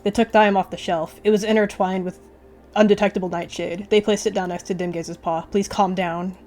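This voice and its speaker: Girl reading out dramatic roleplay narration, medium pitch, soft music under it. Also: fairly even intonation, fairly quick. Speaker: roleplay narrator, video creator.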